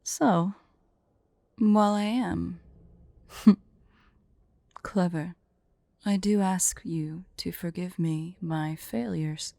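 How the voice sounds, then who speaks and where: Casting: woman to play a female dragon, vain and playfully imperious, unhurried, low and gravelly with creaky fry, for intimate ASMR fantasy roleplay. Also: mellow, no room sound. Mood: proud, playful. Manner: slow, imperious, playful, breathy near-whispers at times. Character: haughty, teasing female dragon, vain and secretly fond.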